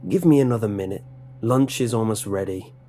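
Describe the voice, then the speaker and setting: A man's voice: fairly low pitch, fairly quick, mellow, a little hiss or hum, natural voice. A man voicing a bitter, menacing middle-aged lunch lady with a heavy accent, who barks short orders in broken speech. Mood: mocking. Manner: resentful, threatening, barking short orders.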